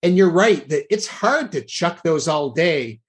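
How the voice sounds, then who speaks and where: Male podcast host, slightly high voice, casual anecdotal storytelling. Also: animated, a little slow.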